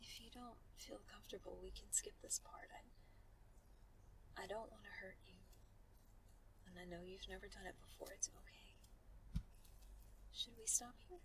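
A woman playing a seductive, dominant yet tender lover in an intimate adult audio roleplay, with a breathy voice. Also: a little high, expressive, takes it slowly, pauses often, noisy background. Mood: tender, reassuring.

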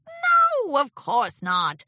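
A woman performs a theatrical male chaos creature from a children's storybook. The voice is high and bright, with big dramatic swings. He sounds haughty and wounded, savoring every florid phrase. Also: sing-song, a little slow, no echo, studio quality, full character voice. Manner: haughty, melodramatic, florid wording.

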